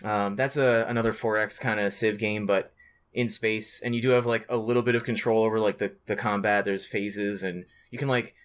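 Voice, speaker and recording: Casual, excitable man on a gaming podcast. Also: on the low side, a little fast, smooth. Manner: excitable, profane slangy talk. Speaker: podcast co-host. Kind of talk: casual chat about video games.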